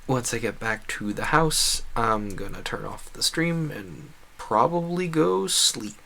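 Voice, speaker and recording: A man's voice: medium pitch, lively intonation, a little slow, creaky, sharp tone. A man livestreaming a video game, chatting casually and musing at length in a talkative way. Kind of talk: casual rambling livestream chat over gameplay.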